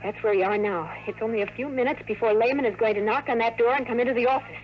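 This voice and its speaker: A woman's voice: a little high, fast, muffled recording, close to an everyday voice. An actress playing an earnest woman agent in love, urgent and beseeching, in a 1950s radio drama. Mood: urgent explaining. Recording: noisy vintage radio recording.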